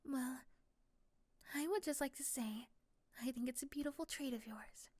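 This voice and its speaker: A woman playing a shy, nervous young woman in love in a romantic ASMR roleplay, speaking softly and hesitantly. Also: sits high, moderate pace, no room sound. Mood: shy, tender.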